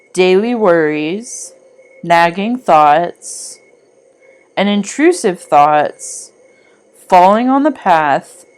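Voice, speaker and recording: A woman reading a scripted guided meditation in a soothing, deliberate manner with long pauses. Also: fairly low pitch.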